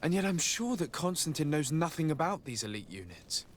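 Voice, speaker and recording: A man playing a calm, diplomatic adventurer in a video game cutscene, with a measured, polite, earnest delivery. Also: fairly high pitch, slight background noise. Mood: troubled. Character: calm, diplomatic male adventurer investigating abuses.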